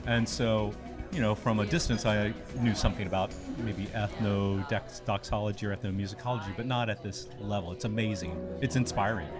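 Upbeat low-voiced worship leader. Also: male voice, steady tone, a little fast, over music. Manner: eager, upbeat telling.

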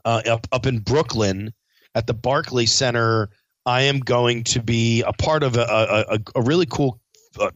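A man's voice: mid-range voice, no room sound, highs cut off. A man who works as a chef, talking casually with lots of fillers and restarts, a bit stuffed up from a cold. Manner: rambling, frequent fillers and restarts. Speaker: chef and podcast host. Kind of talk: casual spoken recap.

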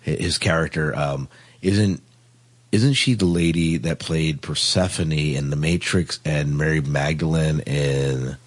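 A man's voice: rumbling low, fairly even intonation, measured pace. A man hosting a casual podcast chat, often repeating and restarting his phrases as he talks. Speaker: podcast host.

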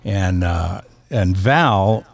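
A man co-hosting a podcast, telling casual anecdotes in a husky, gravelly voice. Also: sits low, lively intonation, drawn-out words. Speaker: podcast co-host, drummer.

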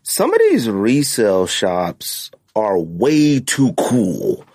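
A man's voice: fairly low pitch, wildly swooping pitch, takes it slowly, a little mellow, no echo. A man hosting a podcast in casual, opinionated chat, talking bluntly in slang and repeating phrases for emphasis. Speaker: podcast host.

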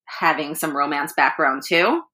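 A woman with a low but bright, forceful voice chats as a guest author, animated and quick to laugh. She talks in a loose, conversational way with frequent restarts. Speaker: author and anthology editor, guest. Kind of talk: casual interview chat.

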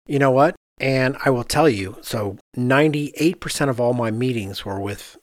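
A man, an architect hosting a podcast, chatting casually and telling animated anecdotes in which he quotes other people. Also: medium pitch, moderate pace, dry recording.

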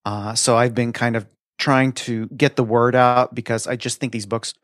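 A man's voice: mid-range voice, steady tone, normal speed, a bit bright, dry recording. Gushing male podcast co-host, dry close sound. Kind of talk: casual book recommendation chat.

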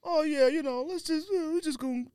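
A man's voice: very high voice, fairly quick, darkish tone, dry recording, studio quality. A man co-hosting a sneaker podcast in casual, unscripted banter, talking in slang and giving blunt opinions. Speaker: podcast co-host reviewing sneakers.

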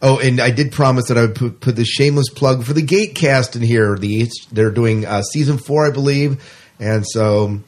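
A man's voice: medium pitch, steady tone, normal speed, darkish tone. A man chatting casually as a podcast co-host, rambling along and often repeating his words and phrases.

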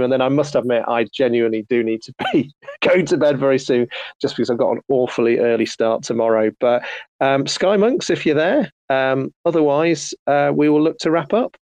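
A man's voice: a little high, normal speed, darkish tone, no echo. A man hosting an online audio chat, soothing and polite, with many fillers and restarts. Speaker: host. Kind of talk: casual chat.

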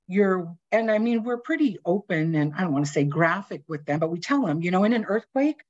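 A woman's voice: medium pitch, a little mellow. Chatty woman on lo-fi audio. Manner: chatty, informal, many fillers. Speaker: seismic retrofit program staff. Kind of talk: panel discussion.

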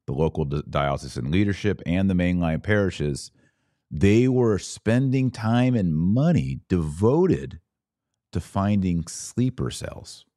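A man's voice: low-pitched, lively intonation, slow pace, dark tone, no echo, clean studio sound. A man hosting a live podcast, reading and answering viewer comments in emphatic, punchy bursts of short phrases. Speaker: podcast host.

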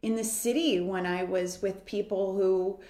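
A woman's voice: medium pitch, steady tone, a little slow, mellow. A woman from the United States, a guest in an interview, telling her story in an earnest, flowing way. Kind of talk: reflective interview talk. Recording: roomy echo.

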